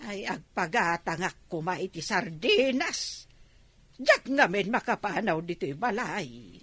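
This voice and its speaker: A woman's voice: mid-range voice, animated, unhurried, fairly bright tone, breathy edge, no echo, exaggerated voice. A woman playing a suspicious, nagging village wife in an Ilocano comedy radio drama, with an Ilocano accent and a scolding manner. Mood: conspiring.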